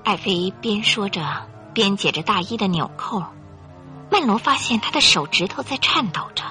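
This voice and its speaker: Animated, bright-voiced woman narrator. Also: medium pitch, moderate pace, soft background music. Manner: theatrical, suspenseful, clearly articulated. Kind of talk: dramatic radio storytelling narration.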